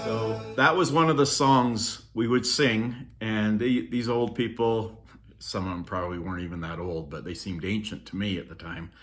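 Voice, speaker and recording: A man's voice: on the low side, moderate pace, a bit bright, soft background music. A middle-aged man from Norway telling childhood memories in a rambling vlog, talking into a phone on a selfie stick, with many ums and restarts. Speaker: vlogger. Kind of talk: casual storytelling vlog.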